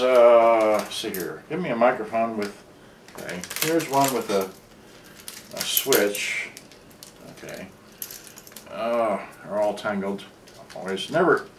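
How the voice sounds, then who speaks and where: An American man, middle-aged, giving a casual, unscripted demonstration, rambling to himself as he works at a bench. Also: medium pitch. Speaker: vintage cassette player repairer and seller. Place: cluttered indoor workbench. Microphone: camera mic at a distance. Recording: roomy, distant camera mic, noisy, clicks.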